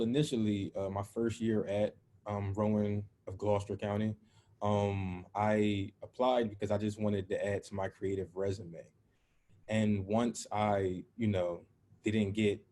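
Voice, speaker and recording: A man's voice: lowish voice, little pitch movement, a little slow, warm tone. A man answering interview questions, hesitant with many fillers. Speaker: interviewee student.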